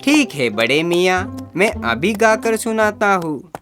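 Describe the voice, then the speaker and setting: A performer voicing an excitable, silly younger male sidekick in a comic animated story, whiny and eager, with singsong delivery. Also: medium pitch, slow, over music, voice pushed up. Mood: eager, playful.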